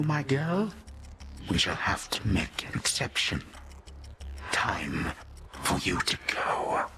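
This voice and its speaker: A man's voice: mid-range voice, gravelly, background noise, heavily acted. An actor playing an ancient, suave Titan grandfather in a video game, courtly, condescending and menacing in his delivery. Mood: stern menace. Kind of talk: theatrical video game villain acting.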